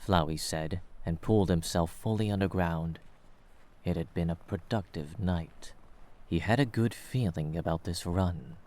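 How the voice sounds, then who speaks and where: A man's voice: sits low, unhurried, darkish tone, a little hiss or hum. A man reading scripted third-person story narration as the narrator of an audio drama.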